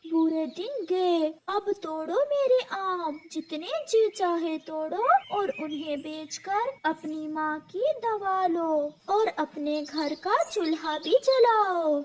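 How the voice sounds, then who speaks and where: Woman voicing a kindly, slow-spoken talking mango tree. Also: very high-pitched, darkish tone. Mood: joyful, generous.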